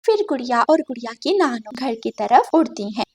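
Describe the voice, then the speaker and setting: A woman's voice: a little high, big pitch swings, normal speed, a little mellow, breathy edge. A woman narrating a scripted cartoon story as the storyteller.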